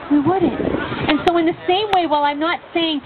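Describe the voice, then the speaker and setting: A woman's voice: extremely high pitch, moderate pace. A woman debating at an outdoor campus open mic, pressing her point with rhetorical questions. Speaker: pro-life speaker at open mic. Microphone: handheld microphone through speaker. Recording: tinny lo-fi with loud background noise.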